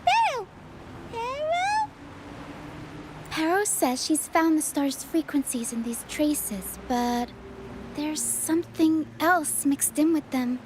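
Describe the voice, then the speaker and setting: A woman playing a calm, quirky young female adventurer in a video game, measured and gently playful. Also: high-pitched, slight background noise, heavily acted. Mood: musing. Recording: loud game music behind the voice. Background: game music.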